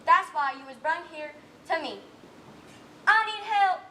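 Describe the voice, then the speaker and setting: A woman acting a gruff, hard-bitten farm wife on stage, blunt and rustic in her speech, projecting to the house. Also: very high-pitched, lively intonation, unhurried, sharp tone, exaggerated voice. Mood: firm, wry. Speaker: student actor in a one-act play. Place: indoor stage, recorded live. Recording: roomy stage recording, faint background noise.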